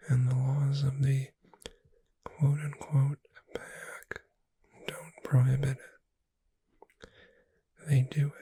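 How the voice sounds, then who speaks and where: A performer voicing a gentle witch bookseller in an ASMR roleplay, soothing a customer to sleep with an unhurried, breathy whisper. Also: mid-range voice, dry recording, exaggerated voice. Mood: calm, reading aloud. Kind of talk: ASMR roleplay, reading aloud and describing books.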